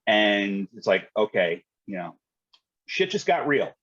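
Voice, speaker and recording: A husky-voiced man recounts things in a matter-of-fact, colloquial way, with some salty language. He sounds like a podcast guest telling stories. The audio is dry and a little dull. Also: fairly low pitch, very animated, measured pace, fairly bright tone, dry recording. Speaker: guest, retired fire dispatcher. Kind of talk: conversational podcast storytelling.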